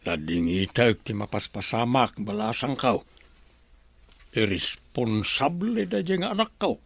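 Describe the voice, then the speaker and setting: A man's voice: mid-range voice, animated, measured pace, gravelly, fairly bright tone, no room sound, exaggerated voice. A man playing a grumbling old grandfather in an Ilocano radio drama. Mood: grumbling, reproachful.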